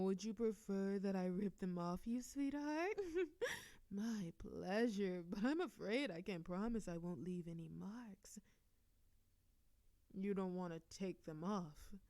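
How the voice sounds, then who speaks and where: A woman's voice: mid-range voice, mellow, dry recording. A woman voicing a playful, seductive immortal succubus demon princess in an audio roleplay, teasing and giggly with a sultry drawl. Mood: boastful, flirty. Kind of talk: scripted audio roleplay acting.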